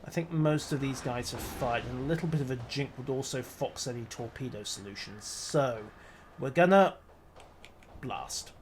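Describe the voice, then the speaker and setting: A man's voice: medium pitch, normal speed, noisy background. A man streaming a naval battle game, talking himself through each move with dry, wry asides. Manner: dry, wry, muttering self-commentary. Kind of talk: live gameplay commentary.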